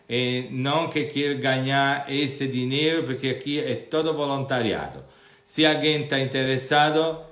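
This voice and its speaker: A man's voice: medium pitch, fairly bright tone. Italian man speaking Portuguese, echoey room. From Italy. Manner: hesitant, restarts often. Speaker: interviewer and channel host. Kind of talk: casual online interview.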